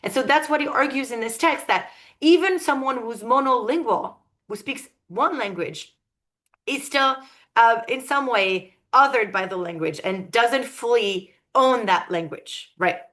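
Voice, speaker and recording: A woman giving an academic lecture, thoughtful and often pausing to search for her words. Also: a little high, fairly bright tone.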